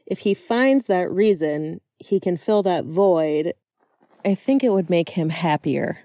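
A woman voicing an excitable, affectionate, chatty friend in a fiction reading, animated and emphatic. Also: on the low side, slow, dry recording. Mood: earnest, warm. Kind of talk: acted dialogue in a fiction reading.

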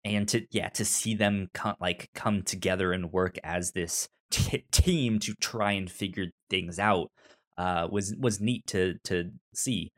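Halting, stuttering man chatting casually. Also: low voice, fairly even intonation. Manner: halting, stuttering repeats of words and syllables. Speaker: podcast co-host. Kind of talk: casual movie discussion.

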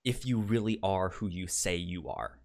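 A man co-hosting a podcast, chatting casually about a TV show, stammering and repeating sounds and words as he talks in an animated way. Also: low-pitched, normal speed, vocal fry. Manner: animated, acts out quotes. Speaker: podcast co-host.

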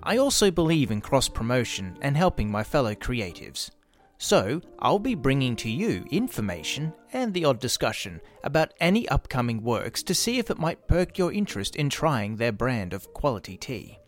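A man's voice: mid-range voice, sing-song, moderate pace. A middle-aged man with an Australian accent, reading scripted promotional narration as a podcast host. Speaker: podcast host and drama workshop creator. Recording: music behind the voice. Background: music.

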